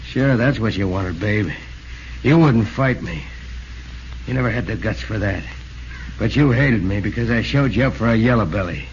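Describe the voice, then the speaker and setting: A man's voice: fairly low pitch, normal speed, natural voice. Man acting a bitter, sneering 1940s radio rival. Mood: bitter, accusing. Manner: sneering, accusatory. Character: bitter, sneering rival baseball pitcher, accusing and hot-tempered. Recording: dull, lo-fi old radio, faint noise.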